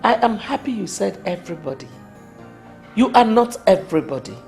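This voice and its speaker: A woman's voice: medium pitch, animated, brisk, gravelly, darkish tone. An actress playing a stern royal mother who disapproves of her son's marriage. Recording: music underneath.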